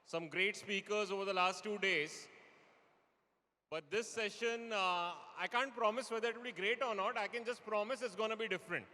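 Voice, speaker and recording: A man's voice: sits high, normal speed, pushed and bright. A man in his forties with an Indian accent, moderating a live panel with teasing, self-deprecating humour. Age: 40s. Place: large indoor hall with audience. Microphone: event microphone. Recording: echoey hall, live event PA.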